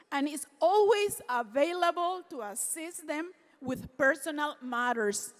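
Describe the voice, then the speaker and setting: A woman presenting an award at a formal luncheon, reading prepared remarks in a warm, earnest way. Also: high-pitched. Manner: reads prepared remarks, grows emotional. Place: large banquet hall. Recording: hall reverb from a PA system.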